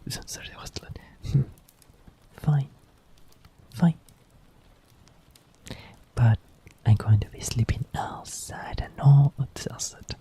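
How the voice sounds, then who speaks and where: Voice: mid-range voice, husky. A streamer whispering an ASMR roleplay as a clingy, sleepy partner who coaxes someone back to bed. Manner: soft teasing whisper.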